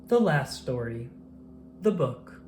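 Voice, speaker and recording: A narrator reading a children's picture book aloud in an expressive, measured storytelling style. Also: medium pitch, a little hiss or hum.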